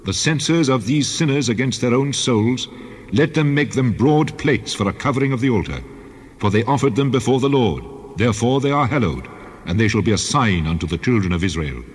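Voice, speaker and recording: An actor playing a stern, solemn God of the Old Testament in a dramatized scripture reading, making authoritative pronouncements in a husky voice. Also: male voice, medium pitch, animated, fairly quick. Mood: solemn, instructing. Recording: long cavernous reverb.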